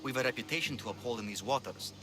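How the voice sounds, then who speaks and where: A man's voice: medium pitch, quick pace, over music, close to an everyday voice. A man playing an overworked, ruthless pirate lieutenant in a video game, blunt and world-weary. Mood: forceful, satisfied. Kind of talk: video game cutscene acting.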